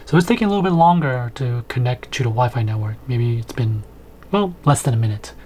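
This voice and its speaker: Male vlogger, thirties, conversational product walkthrough, mid-pitched, with clear background noise. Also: expressive, a little fast, a little mellow. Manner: conversational, thinking aloud. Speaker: video host, product reviewer. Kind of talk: casual unboxing and setup commentary. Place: small home room, indoors.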